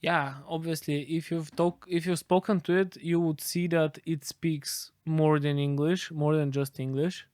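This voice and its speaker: A man whose first language is Bulgarian answers interview questions in a loose, thinking-aloud way, full of small restarts. His voice sits a little high for a man. The recording is close and dry. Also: steady tone, measured pace, hardly any pauses, darkish tone, no room sound. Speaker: guest expert on AI.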